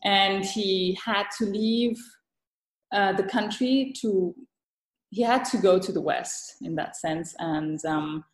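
Woman from Thailand, podcast guest, mid-pitched, thoughtful, halting, frequent restarts, roomy echo. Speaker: guest author. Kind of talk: podcast interview.

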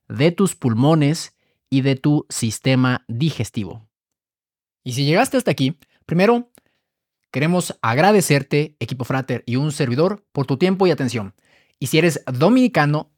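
A man's voice: on the high side, darkish tone, no echo. Man narrating a health podcast, dry sound. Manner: measured, explanatory, listing items one by one. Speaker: podcast host.

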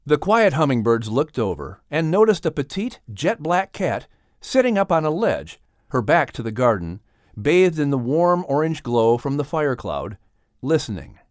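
A man's voice: on the high side, big pitch swings, moderate pace, no echo, studio quality. A man reading a scripted children's animal story as an audiobook narrator.

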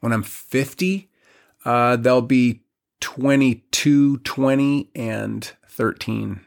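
A man in his forties, a guest on a podcast, talking in a reflective, halting way with many restarts. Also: mid-range voice, vocal fry. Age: 40s. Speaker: podcast guest, community leader. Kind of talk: casual podcast conversation.

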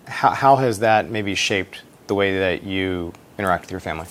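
A man's voice: low-pitched, moderate pace, a bit bright. A man hosting an interview podcast, asking eager, upbeat follow-up questions. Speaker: podcast host.

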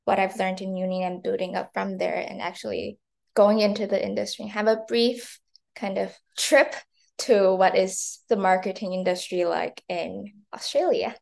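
A woman's voice: medium pitch, fairly even intonation, moderate pace, gravelly, a little mellow. A woman in her early twenties from Taiwan and China, chatting through casual interview answers and often saying "like". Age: early 20s. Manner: chatty, frequent "like". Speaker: interview guest, marketing intern and university student.

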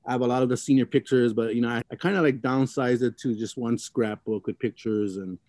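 A man's voice: mid-range voice, steady tone, a little fast, darkish tone, no room sound, studio quality. A middle-aged man from Hawaii, telling his life story in an oral history interview, rambling and reflective with many fillers and restarts.

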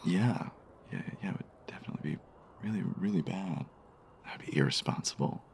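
Romantic radio play lead: male actor playing a smitten, hesitant man, very low and husky, tender delivery. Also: full character voice. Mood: nervous, flirtatious. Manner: halting, hesitant, soft-spoken. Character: shy, smitten male stranger, tender and complimentary. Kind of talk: intimate audio romance acting.

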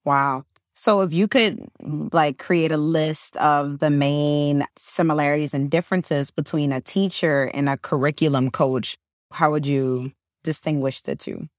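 A woman's voice: low-pitched, steady tone, a little slow, no room sound, studio quality. A woman hosting a podcast interview, asking questions and encouraging her guest with short affirmations. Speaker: podcast host.

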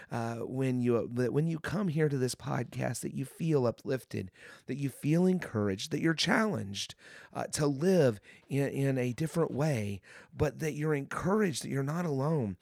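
A man with a hoarse voice from a cold, hosting a devotional podcast in an earnest, encouraging way and often repeating his phrases. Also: medium pitch, darkish tone, no echo, clean studio sound. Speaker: podcast host.

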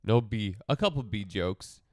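A man's voice: fairly low pitch, lively intonation, a little slow, darkish tone, no echo, clean studio sound. A man with a congested, nasal voice, co-hosting a podcast in casual chat and telling a rambling story. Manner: rambling, self-interrupting, laughs often. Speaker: podcast co-host, comedian.

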